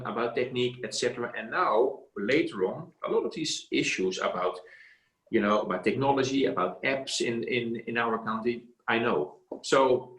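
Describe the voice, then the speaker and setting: A man's voice: medium pitch, fairly bright tone. A middle-aged man giving emphatic career coaching on a video call, repeating his words. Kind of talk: casual advice on a video call. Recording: roomy echo.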